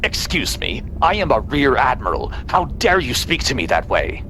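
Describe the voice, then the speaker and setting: A man's voice: medium pitch, very animated, normal speed, vocal fry, background noise. A man playing an arrogant, bullying rear admiral with a domineering, sarcastic manner in a sci-fi audio drama. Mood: outraged.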